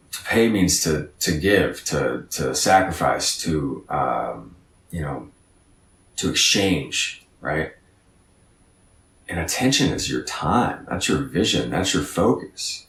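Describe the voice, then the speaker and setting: A man's voice: very deep voice, fairly bright tone, reverberant, far from the mic. A man in his thirties giving an earnest, reflective motivational talk as a podcast guest, often repeating words as he thinks. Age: 30s.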